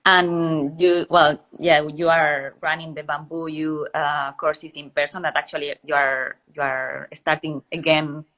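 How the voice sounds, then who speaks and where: A woman's voice: sits low, flat delivery, measured pace, hardly any pauses, a bit bright, rough recording. A woman hosting an interview, asking questions and encouraging her guests with frequent short replies. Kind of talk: casual interview talk.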